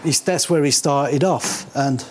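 A man's voice: a little high, a little slow, a little hiss or hum. A man in his sixties with a London accent, casually telling his life story in colloquial, sweary speech. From born in Cyprus, grew up in north London. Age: 60s. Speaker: interviewee. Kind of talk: casual oral history storytelling.

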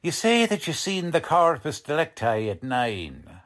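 A man playing a gruff old-time radio police lieutenant who bosses everyone around. He takes his time, swinging up and down in pitch as he blusters through tough, ungrammatical cop talk. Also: huge pitch swings, a little slow, a bit bright, heavily acted. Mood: pressing, sardonic. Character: gruff, bossy, uneducated police lieutenant running a murder interrogation. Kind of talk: 1940s radio drama acting.

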